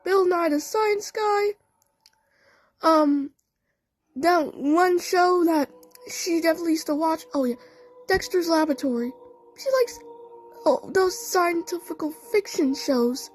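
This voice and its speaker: A performer voicing a female cartoon cat scientist telling a story in a halting, rambling way, in a fan audio play. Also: very high voice, faint music behind. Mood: earnest storytelling.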